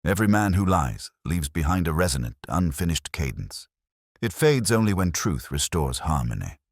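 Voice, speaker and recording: A man's voice: low-pitched, sing-song, moderate pace, no echo, studio quality, full character voice. A man voicing a coolly precise, commanding Victorian detective with curt, cerebral delivery in an audiobook. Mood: calm, reflective. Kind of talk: audiobook character dialogue.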